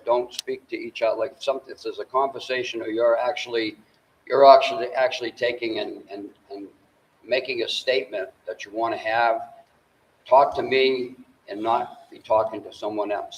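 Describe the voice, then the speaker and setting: An older man moderating a town meeting, folksy and halting, often repeating words as he lays out the rules. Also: on the low side. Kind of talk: addressing a public meeting. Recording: distant mic, faint room noise.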